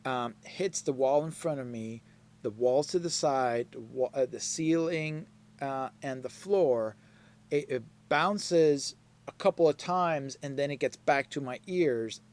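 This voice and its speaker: A man teaching a live mixing class, explaining slowly and checking in with his students as he goes. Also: medium pitch, darkish tone. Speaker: mixing instructor. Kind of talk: live online class lecture.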